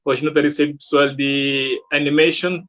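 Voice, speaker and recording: A man's voice: fairly high pitch, little pitch movement, normal speed, fairly bright tone, slight background noise. A man with a Cape Verdean accent hosting a casual live online interview in an informal, welcoming way. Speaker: host. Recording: dull, lo-fi live stream sound.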